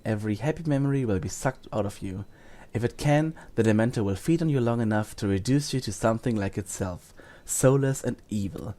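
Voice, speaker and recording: Man acting a gentle, weary wizard teacher with mellow voice. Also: medium pitch, a little hiss or hum, lightly acted. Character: Lupin, gentle weary male wizard teacher, grave and reassuring. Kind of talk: audio drama acting.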